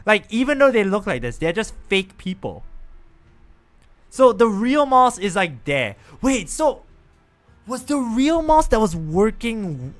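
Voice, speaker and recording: A man streaming a story game, thinking aloud and reacting with excitement. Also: sits high, measured pace, faint music behind. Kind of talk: casual gameplay commentary.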